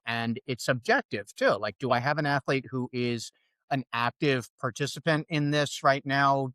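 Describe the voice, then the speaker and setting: A man with a New York accent speaks as a podcast guest, mid-pitched and conversational. He thinks aloud and often restarts his sentences. The sound is close and dry, with no room around it. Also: smooth, no room sound. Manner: thoughtful, restarts often, animated. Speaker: podcast guest, fitness coach. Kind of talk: casual conversational interview.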